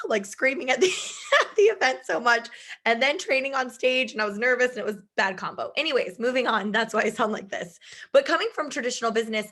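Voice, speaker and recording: A woman in her thirties giving a chatty video call presentation from home, her voice strained from shouting, laughing often. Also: a little high. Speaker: business presenter.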